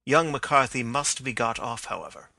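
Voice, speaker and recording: A man voicing a calm male detective who speaks gently and gravely. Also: medium pitch, dry recording. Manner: measured and gentle, gravely reassuring.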